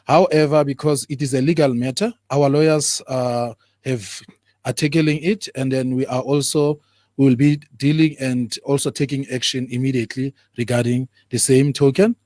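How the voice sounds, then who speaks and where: A man, an imam, answering interview questions in a studio. He speaks haltingly, with frequent fillers. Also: mid-range voice, fairly even intonation, a little mellow, no room sound. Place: broadcast studio.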